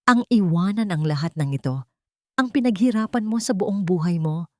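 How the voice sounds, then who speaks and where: A woman's voice: mid-range voice, expressive, normal speed, no echo, clean studio sound, close to an everyday voice. Narrator as a respectful, determined female attorney. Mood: concerned. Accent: Filipino. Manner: respectful, earnest.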